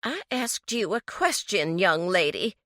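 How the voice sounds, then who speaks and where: A woman voicing a frantic, scolding middle-aged mother, high and shrill. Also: big pitch swings, a little slow, a bit bright, dry recording.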